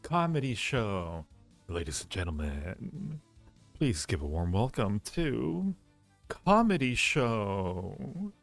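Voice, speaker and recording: Low-voiced man, playful livestream host. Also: very animated, slow, gravelly, dry recording, soft background music. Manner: playful, teasing, rambling with repeated phrases. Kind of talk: casual livestream chat and ranking commentary.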